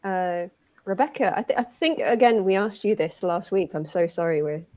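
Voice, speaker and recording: A woman's voice: mid-range voice, moderate pace, dark tone, no echo. A woman hosting a livestream Q&A in casual chat, recorded with a slightly dull, lo-fi sound. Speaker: livestream Q&A host.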